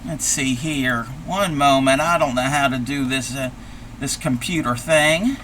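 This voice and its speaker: A man's voice: medium pitch, a little slow, fairly bright tone, a little hiss or hum, natural voice. A man voicing a folksy, befuddled old grandmother who is confused by technology, talking with old-fashioned exclamations on a live stream. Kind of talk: live stream character play.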